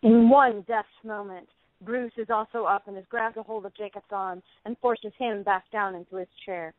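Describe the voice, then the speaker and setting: Lady reading stage directions for an audio play, mid pitch, very dry but sounds like a phone call. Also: fairly even intonation, moderate pace, no echo. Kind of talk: scripted dramatic narration. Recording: tinny phone-like band.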